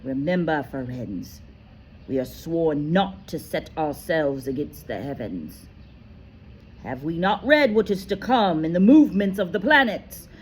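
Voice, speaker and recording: A woman reading aloud voices an angry, proud male centaur who shouts scornfully. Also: low voice, big pitch swings, a little slow, a little hiss or hum. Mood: stern.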